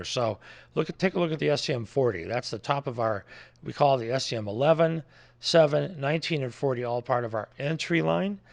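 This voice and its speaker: A man's voice: medium pitch, gravelly. An American man giving an informal product presentation in a plain-spoken, explanatory way, as a distributor would.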